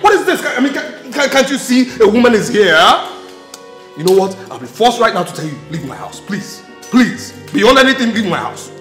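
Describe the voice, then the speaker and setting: A man's voice: high-pitched, very animated, brisk, hardly any pauses, fairly bright tone, echoey room, faint music behind. An actor playing a flustered, evasive young man caught two-timing, stammering and repeating himself in a film melodrama. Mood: indignant shouting. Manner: stammering, repetitive.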